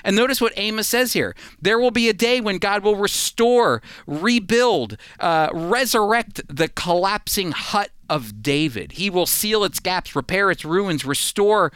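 A man's voice: sits high, animated, measured pace, few pauses, a bit bright, clean tone, no echo, clean studio sound. A man teaching a Bible sermon on a radio program, emphatic, repeating phrases and dropping his voice for weight. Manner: emphatic preaching with repeated phrases and dramatic drops.

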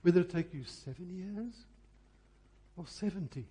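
A man preaching a revival sermon earnestly and deliberately, pressing his questions with repeated emphasis. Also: sits high, dark tone. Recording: husky, very reverberant church hall sound.

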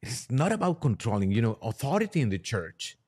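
A man's voice: mid-range voice, sing-song, a little fast, darkish tone, breathy edge. A man, a priest appearing as a podcast guest, talking conversationally about religion and earnestly restating his points.

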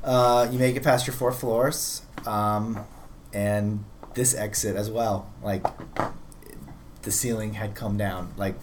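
A man running a tabletop roleplaying game, improvising scene narration in a halting, conversational way and often rephrasing mid-sentence. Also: fairly low pitch, fairly even intonation, noisy background. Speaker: game master.

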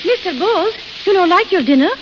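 High-pitched fussing cook, old radio. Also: female voice, lively intonation, quick pace, music in the background. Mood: fussing, coaxing. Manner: scolding, broken English. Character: fussing, motherly foreign cook, bossy but reassuring. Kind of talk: 1930s-40s radio drama acting. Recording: hissy, crackly, muffled old radio recording.

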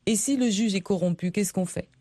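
Mellow-voiced woman interviewer, dry studio sound. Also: mid-range voice, brisk, no echo. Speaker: radio interviewer, host. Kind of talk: measured interview questioning.

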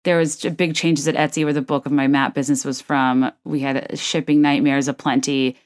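A woman in her thirties hosting a solo podcast, chatting in a wry, rambling way with a low, soft late-night voice. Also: level tone, fairly quick. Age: 30s. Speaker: podcast host. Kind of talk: casual solo podcast chat.

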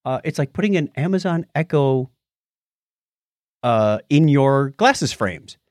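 A man hosting a casual tech podcast, telling stories in an animated way and acting out reactions as he goes. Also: mid-range voice, takes it slowly, dry recording, clean studio sound. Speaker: podcast host.

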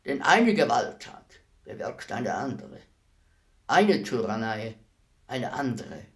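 A calm executioner in a radio drama, despised and brooding over his trade. He speaks very deliberately in a mid-pitched voice, giving each phrase grave, solemn weight as he addresses his victim. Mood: brooding, bitter. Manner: measured, grave, deliberate declamation. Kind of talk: solemn radio play monologue.

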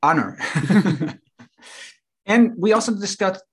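A man's voice: high voice, expressive, takes it slowly, slightly rough. A Dutch man hosting a business podcast, chatting loosely in English with many restarts. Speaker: podcast host and business coach. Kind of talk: casual interview chat.

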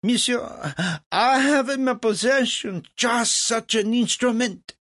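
A man's voice: very high-pitched, slow pace, fairly bright tone, dry recording, clean studio sound, voice pushed up, exaggerated voice. A man voicing a pompous, boastful French host in a storybook, with a grandiose, emphatic manner.

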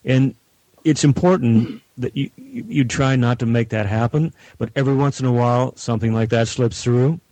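A middle-aged or older man, a guest producer, talking reflectively in measured, deliberate short phrases. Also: medium pitch, mellow, dry recording, rough recording. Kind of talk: reflective panel talk.

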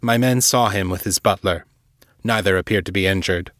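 A man voicing a powerful, condescending vampire lord in his fifties, formal and unhurried, in an audiobook drama. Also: sits low, fairly bright tone, no echo, clean studio sound. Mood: grave, measured. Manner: formal, unhurried, smoothly chiding.

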